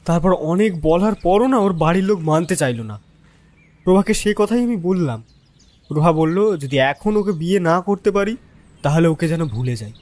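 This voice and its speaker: A man's voice: sits high, expressive, fairly quick, darkish tone. A man playing a lovelorn young village man, earnestly recounting his troubles in an audio drama. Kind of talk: dramatic first-person storytelling.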